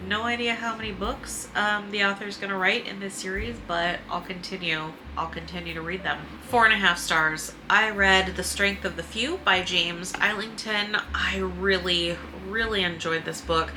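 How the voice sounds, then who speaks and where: Bright-voiced woman chatting about books. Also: medium pitch, slight background noise, rough recording. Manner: informal, hesitant, self-correcting, mildly sweary. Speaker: book reviewer and video host. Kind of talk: casual, chatty book review vlog.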